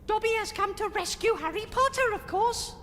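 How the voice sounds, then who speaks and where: A man's voice: very high-pitched, moderate pace, pushed and bright, a little hiss or hum. An actor playing a small, brave and devoted male house-elf who speaks earnestly of himself in the third person. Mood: eager, proud.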